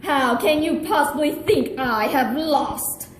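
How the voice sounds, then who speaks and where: A woman's voice: high-pitched, slow, a little hiss or hum. A woman voicing a vengeful, grandiose male pet hamster villain in a theatrical gloating monologue. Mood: triumphant. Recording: roomy echo.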